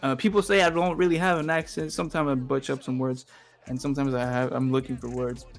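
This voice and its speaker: A man's voice: mid-range voice, steady tone, quick pace. A man whose first language is Spanish, chatting as a podcast guest over a call, hesitant and full of filler sounds, laughing often. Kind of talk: casual chat.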